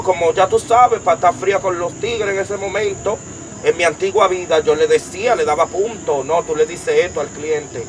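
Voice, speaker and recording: A young man with a Dominican accent, giving an emphatic personal testimony as an interview guest, piling up details in long rapid lists. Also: a little high, noisy background. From Dominican Republic. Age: young adult.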